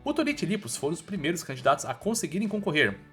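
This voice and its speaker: Male recap narrator, Brazilian accent, animated higher-set voice, informal storytelling, music behind. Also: racing. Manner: storytelling with colloquial slang. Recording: voice-over with background music.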